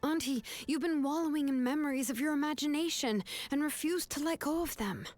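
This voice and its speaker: A woman's voice: high voice, a little fast, a little mellow, lightly acted. An actress voicing a cool, capable young woman in a video game, composed, matter-of-fact and protective. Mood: grave, reproachful. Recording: game music underneath.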